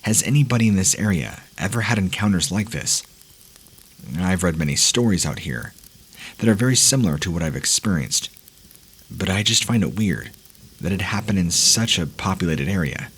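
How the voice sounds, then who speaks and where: Husky low-voiced man narrating horror. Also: animated, normal speed, fairly bright tone. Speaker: narrator reading listener stories.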